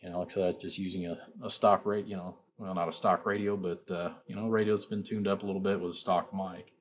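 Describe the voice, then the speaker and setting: A man with a very deep voice walks through an informal product demonstration. He speaks as the builder and seller, rambling and leaning on repeated stock phrases as he explains. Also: level tone, fast, a bit bright. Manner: rambling, repeats "you know" and "like I said". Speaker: amplifier builder and seller presenting his work.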